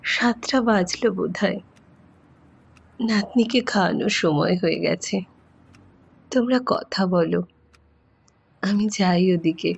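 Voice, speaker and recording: A woman acts out an elderly mother worn by grief, gentle and tender. Her voice is creaky and husky, and she speaks slowly in a halting way. It is an audio drama performance with a slightly dull sound. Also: lowish voice, full character voice. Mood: tearful, subdued. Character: sorrowful elderly widowed mother, grateful and gentle grandmother. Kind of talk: Bengali audio story acting.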